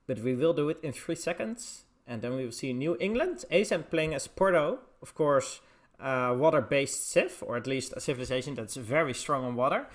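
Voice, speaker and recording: A man with a Dutch accent casts a live esports match. He talks in a relaxed, rambling way, filling pauses with "uh" and "um" as he answers viewers in the chat. His voice sits at a middle pitch. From Netherlands. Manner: loose and chatty, many filler words, replying to the chat. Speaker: esports caster. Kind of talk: casual live stream commentary.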